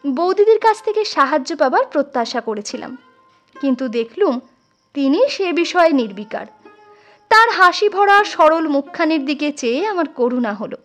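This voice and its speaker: A woman reads literary fiction as an expressive audiobook storyteller. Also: sits high, moderate pace. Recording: music under the voice. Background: instrumental music.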